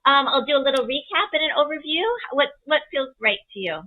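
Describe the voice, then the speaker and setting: A woman's voice: high-pitched, lively intonation, fast talker. Woman astrologer, slightly muffled sound. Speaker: astrologer guest giving chart readings. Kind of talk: conversational explanatory reading.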